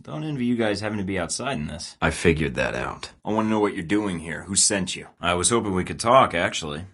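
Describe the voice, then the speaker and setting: A cocky deep-voiced American spy. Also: male voice, animated, fast, a bit bright, slightly rough, full character voice. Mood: cool. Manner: wry, cocky, dry quips. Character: confident young male American secret agent. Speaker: video game protagonist. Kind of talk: game voice acting.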